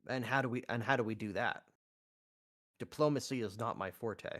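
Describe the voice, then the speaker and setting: A man's voice: mid-range voice, normal speed, fairly bright tone. A man playing a tabletop roleplay game, talking casually and hesitantly, with some swearing. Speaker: player.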